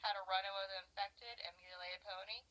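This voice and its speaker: A woman's voice: mid-range voice, fairly even intonation, quick pace, pushed and bright, close to an everyday voice, rough recording. A woman playing a stern pony squad leader in a horror cartoon, giving terse military commands. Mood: clipped, reporting.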